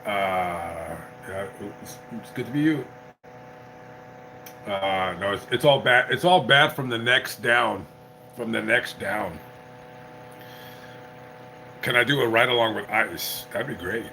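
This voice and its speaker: A man with a bright, forceful voice chats loosely to his viewers on a livestream, a little tipsy from drinking. He rambles, stops and restarts his sentences, and thinks out loud. A faint noise hangs behind him. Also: lowish voice, slow pace, long pauses, poor audio. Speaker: YouTuber live-streamer. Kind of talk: casual livestream chat.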